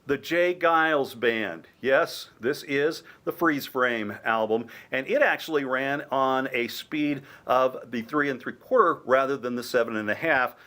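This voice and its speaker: A man's voice: mid-range voice, unhurried, few pauses, bright, forceful tone. Conversational man presenting a show-and-tell. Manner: explanatory, conversational. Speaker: radio station host. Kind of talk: informal product show-and-tell.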